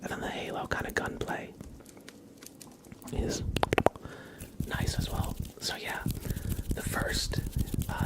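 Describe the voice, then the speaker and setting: A young man whispering casual, rambling chat as the host of an ASMR livestream, often hesitating.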